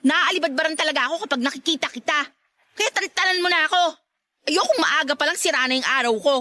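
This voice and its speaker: A woman's voice: high voice, fast, no room sound. A woman acting a feisty, disdainful young woman in a radio drama, scolding and haughty as she rebuffs a suitor. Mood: annoyed. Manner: scolding, haughty, sharp-tongued.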